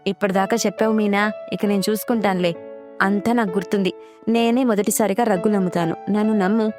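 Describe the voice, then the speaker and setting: A woman's voice: medium pitch, fairly even intonation, fast talker, over music, close to an everyday voice. A woman voicing a sleepy, grumbling parrot husband in a cartoon story, put-upon and reluctant. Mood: resigned assurance.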